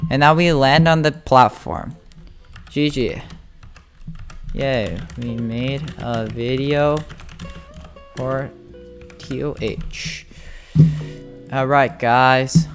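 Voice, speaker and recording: Gamer doing a casual tutorial, mid pitched voice, kinda halting and thinking out loud, music playing behind. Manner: informal, halting, thinking aloud. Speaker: game guide video host. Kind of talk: casual gameplay tutorial commentary.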